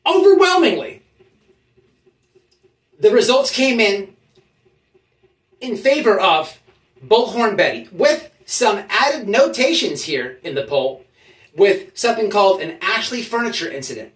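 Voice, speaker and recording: The voice sits back from the mic in an echoey room. It belongs to a YouTube host delivering mock-serious commentary, with pitch that swings widely and an emphatic, official-sounding delivery that halts for effect. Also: sing-song, a little slow, pauses often. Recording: roomy echo, distant from the mic.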